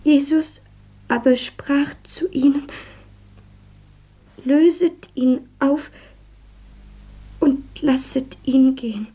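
Casting: solemn woman reciting scripture for radio drama, high but mellow, whispery, hushed. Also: steady tone, extremely slow, pauses often. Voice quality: breathy, whispery.

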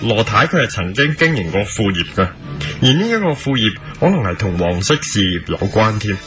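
A man's voice: on the low side, sing-song, normal speed, over music. A man playing an earnest, obstinate young TV news reporter with a pompous manner and a Hong Kong Cantonese accent, in a comic radio drama. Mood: solemn reporting. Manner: pompous, doggedly repetitive questioning.

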